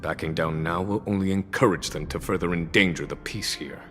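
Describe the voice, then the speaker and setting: An actor playing a composed, authoritative general in a game cutscene, speaking in a measured way. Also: male voice, low voice, fairly bright tone, long reverb tail, soft background music. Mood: calm. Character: calm, composed male general in his prime.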